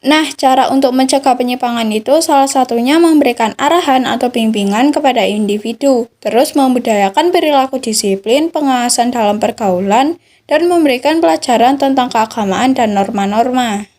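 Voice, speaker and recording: A woman's voice: high voice, normal speed, a little mellow. A young woman with an Indonesian accent, delivering scripted study material as a student podcast host.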